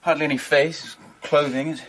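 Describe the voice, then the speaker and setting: An actor playing a forceful, protective Victorian gentleman in a radio play, urgent and commanding. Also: fairly high pitch, little pitch movement, normal speed, slight background noise, close to an everyday voice, poor audio. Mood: shocked, firm.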